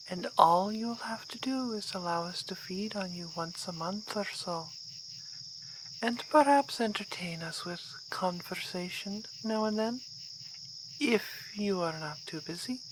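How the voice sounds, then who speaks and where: A woman's voice: medium pitch, measured pace, background noise, close to an everyday voice. A woman playing a poised, gracious vampire baroness in an ASMR roleplay, speaking in formal, courteous phrases. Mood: calm, reassuring.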